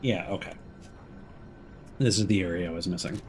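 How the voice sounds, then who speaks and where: A man's voice: deep voice, normal speed, music in the background. A man streaming a video game with casual commentary, muttering reactions and swearing as he plays. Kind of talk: casual let's play commentary. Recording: loud game noise behind voice. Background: video game ambience and sound effects.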